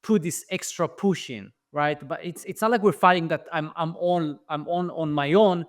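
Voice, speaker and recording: Israeli guy on a podcast, thinks out loud, lots of ums, dry sound. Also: a little high, normal speed, no room sound. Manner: thoughtful, frequent fillers and restarts. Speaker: guest, franchise founder. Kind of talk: podcast interview.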